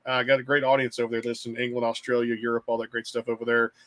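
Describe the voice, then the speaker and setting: A man's voice: mid-range voice, level tone, racing, fairly bright tone. A middle-aged man hosting an interview show, talking in a rambling way and repeating phrases. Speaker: podcast host.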